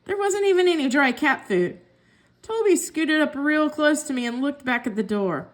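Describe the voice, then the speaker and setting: Female storyteller for a kids' read-aloud, higher-pitched, expressive delivery. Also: normal speed. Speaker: story reader.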